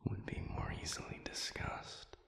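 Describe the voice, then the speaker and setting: A man playing an ancient, grave demon in a dramatic audio roleplay, speaking in a breathy half-whisper with halting, formal, solemn diction. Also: fairly bright tone, roomy sound, lightly acted. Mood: brooding, puzzled.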